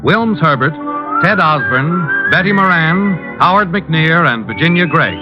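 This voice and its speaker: Casting: male radio credits announcer, old-time style, animated delivery on muffled audio under music. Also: a little high, unhurried. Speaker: show announcer reading credits. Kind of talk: 1940s-50s radio announcing.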